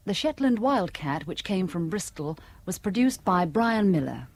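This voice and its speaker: A female announcer reading out radio play credits in a measured, precise manner. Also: fairly low pitch, lively intonation, a little mellow, no room sound. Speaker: continuity announcer. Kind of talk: formal broadcast announcing.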